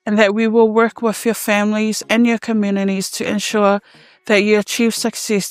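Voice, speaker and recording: A woman working as a university's Pacific student success advisor, giving a measured, formal scripted welcome. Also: medium pitch, clean tone, no echo.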